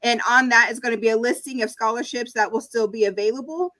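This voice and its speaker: A woman's voice: a little high, fairly even intonation, fast talker, fairly bright tone. A woman moderating an online information session, reading out audience questions with frequent ums. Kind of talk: webinar moderation.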